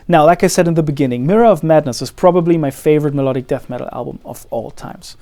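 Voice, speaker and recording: A young man hosting his own channel, talking casually and explaining guitar recording gear in a relaxed, friendly way, with light self-deprecating asides. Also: a little high, fast.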